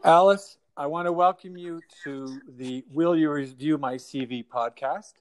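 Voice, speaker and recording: A man's voice: on the high side, a little slow, low-quality recording. A man hosting a podcast interview, rambling with lots of restarts and laughing at his own jokes. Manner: rambling, self-deprecating, laughs often. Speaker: podcast host. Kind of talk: casual remote interview.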